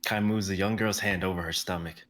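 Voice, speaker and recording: A young man narrating the stage directions of a dramatic reading in a steady, descriptive way. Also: low-pitched, brisk. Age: young adult.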